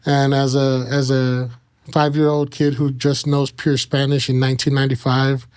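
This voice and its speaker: Halting American man, clear, even voice. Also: medium pitch. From Kansas and Mexico. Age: 30s. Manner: halting, frequent "you know" pauses. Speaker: interview guest. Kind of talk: casual personal storytelling.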